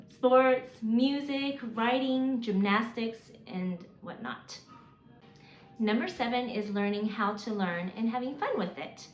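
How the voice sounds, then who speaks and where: Earnest homeschooling mom in a roomy space. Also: female voice, fairly high pitch. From South Korea, raised in Mississippi. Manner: earnest, measured, with occasional fillers and restarts. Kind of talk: reading a prepared list. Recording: roomy, slightly dull, faint hiss.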